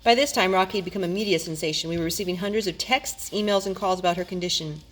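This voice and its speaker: A woman's voice: fairly low pitch, fast talker. A woman reading a children's storybook aloud as a storyteller, with dramatic, emphatic phrasing.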